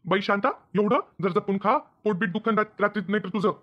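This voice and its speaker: A woman as a fast-talking exasperated cartoon husband. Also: medium pitch, fairly even intonation, highs cut off, close to an everyday voice. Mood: resigned, cautioning. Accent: rural Maharashtrian Marathi. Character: exasperated, nagging village husband in a comedy cartoon. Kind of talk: comic cartoon dialogue.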